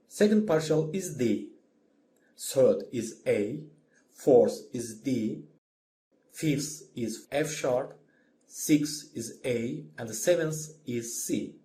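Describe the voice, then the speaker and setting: Slow, mellow man giving a lesson. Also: medium pitch, slight background noise. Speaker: music theory lecturer. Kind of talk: scripted instructional narration.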